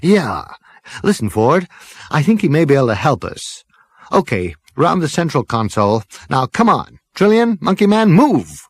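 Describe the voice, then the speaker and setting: A man acts out a brash space adventurer from a comic sci-fi audiobook. His pitch is raised and animated. He talks in slangy, impatient bursts, full of cocky self-importance. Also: normal speed, no echo, clean studio sound. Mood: bossy, urgent.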